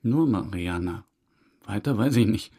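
An actor playing a defensive, wounded murder suspect in a crime drama, halting, self-pitying and insistent on his honesty. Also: lowish voice, expressive, dark tone, slightly rough. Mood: halting, dejected. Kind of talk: German crime radio drama dialogue.

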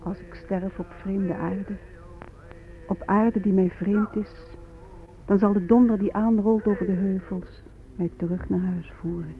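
Earnest young man, high voice, solemn, melancholy, radio drama, muffled sound. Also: fairly even intonation, a little slow. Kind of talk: Dutch radio play acting. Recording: dull, lo-fi radio recording.

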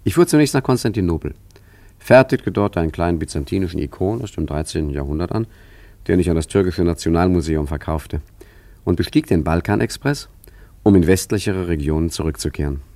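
An actor playing a wry, roguish art forger and painter in a German radio play, with a leisurely, ironic, musing way of talking. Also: male voice, deep voice, brisk, warm tone, dry recording. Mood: wry storytelling.

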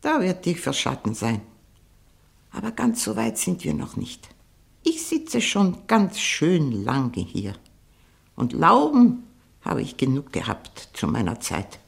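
A woman playing a sharp-tongued, haughty old aunt, frail but proud, in a 1960 German radio play. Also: a bass voice, huge pitch swings, gravelly, heavily acted. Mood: haughty, weary. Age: older. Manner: haughty, mocking, deliberate.

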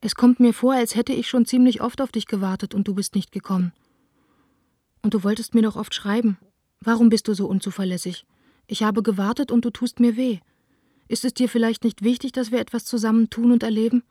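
A woman's voice: fairly high pitch, fairly even intonation, a little fast, warm tone, husky, no echo, clean studio sound. An actress playing an earnest, lovelorn young woman reading her letters intimately in a radio play. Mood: sad, reproachful. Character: lovelorn, reproachful young woman, theatre director.

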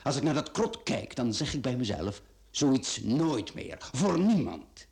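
A man's voice: mid-range voice, very animated, measured pace, a little mellow. A man acting a ruthless, condescending self-made business tycoon in a radio play, with a Flemish accent and a mocking, commanding way of talking. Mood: persuasive, patronising.

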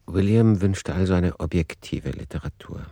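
Deep-voiced male narrator, very dry sound. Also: moderate pace, warm tone, no room sound. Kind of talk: scripted literary radio play narration.

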